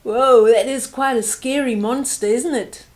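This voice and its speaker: Older woman storyteller, very unhurried, fairly high voice, expressive read-aloud for small children. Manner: expressive, explaining to children with questions.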